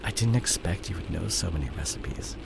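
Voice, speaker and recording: Man acting smooth, dramatic cheating CEO boyfriend, animated pitch. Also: brisk, noisy background, full character voice. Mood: tender, confessing. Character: cheating fallen CEO boyfriend, smooth-talking, evasive, image-obsessed. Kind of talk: intimate boyfriend roleplay audio drama.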